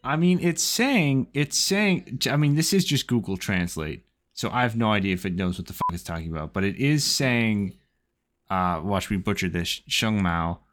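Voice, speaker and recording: A man's voice: lowish voice, animated, normal speed, fairly bright tone, slight background noise. A man co-hosting a podcast, rambling in casual chat and swearing freely. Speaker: podcast co-host.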